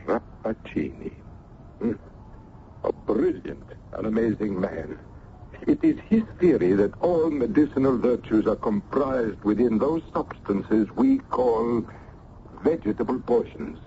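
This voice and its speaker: Slow, courtly old-world professor. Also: male voice, medium pitch, huge pitch swings, gravelly, warm tone, heavily acted. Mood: guarded, musing. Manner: ruminative, courtly, hesitant pauses. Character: learned older male Italian medical professor, genial but wary. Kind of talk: old-time radio drama acting. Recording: dull, noisy old radio recording.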